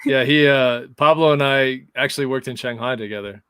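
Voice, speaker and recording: A man hosting a podcast, rambling and self-deprecating as he trips over his words. His voice sits at an ordinary pitch and sounds dry and close to the mic. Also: fairly quick, fairly bright tone, smooth, no room sound. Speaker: podcast host. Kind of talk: casual interview chat.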